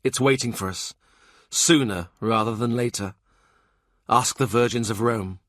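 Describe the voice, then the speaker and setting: A man's voice: medium pitch, a little slow, no echo, natural voice. Man doing a blunt, dry, practical man. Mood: grim. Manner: flat, blunt, matter-of-fact.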